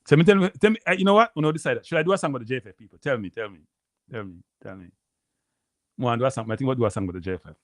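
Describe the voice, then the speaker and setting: A Jamaican man hosting a live stream, rambling casually to his viewers and repeating himself, with a deep voice. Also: rapid-fire, no echo, studio quality. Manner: rambling, repeats phrases, addresses viewers as people. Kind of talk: casual livestream chat.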